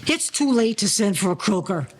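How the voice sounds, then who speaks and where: A man's voice: high-pitched, very animated, normal speed. A man playing a confident, slangy 1940s gangster turned midwife in an old-time radio crime drama. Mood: wry, take-charge.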